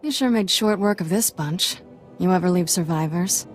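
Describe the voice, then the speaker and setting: An actress playing a flippant young Jedi apprentice in a video game, casual and teasing. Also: female voice, lowish voice, normal speed, soft background music, lightly acted.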